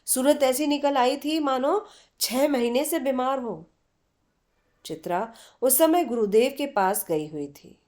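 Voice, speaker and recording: A woman narrates a literary story in a fairly high voice. Her delivery is very slow, with dramatic pauses, and her pitch moves expressively through each phrase. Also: lively intonation, darkish tone. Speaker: story reader.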